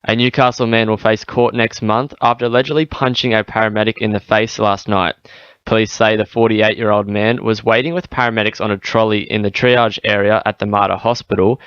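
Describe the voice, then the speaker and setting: An Australian man presents radio news from a script in a fairly low voice. The sound is very dry, with slightly dulled, band-limited audio. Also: steady tone, brisk, a bit bright, clean tone, no echo, lo-fi sound.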